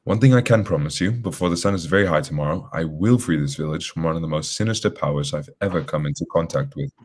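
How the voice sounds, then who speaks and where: A very low, husky-voiced detective acted by a man from a radio mystery. He is formal, deliberate and sure of himself, giving orders with authority. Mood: commanding. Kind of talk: old-time radio drama acting.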